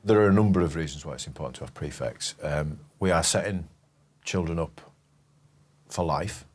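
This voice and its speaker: A head teacher talking in a documentary interview, measured and reflective, with some hesitations. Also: male voice, very deep voice.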